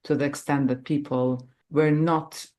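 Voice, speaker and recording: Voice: mid-range voice, level tone, a little slow, a little mellow. An interviewer putting prepared questions in a conversational way, with tentative, careful phrasing.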